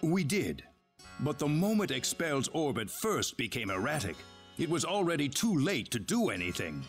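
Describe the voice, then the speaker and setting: An actor playing a grave, courteous leader of an advanced civilization in a video game, speaking in formal, measured exposition. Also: male voice, a little high, big pitch swings, soft background music. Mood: solemn, urgent. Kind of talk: dramatic video game dialogue.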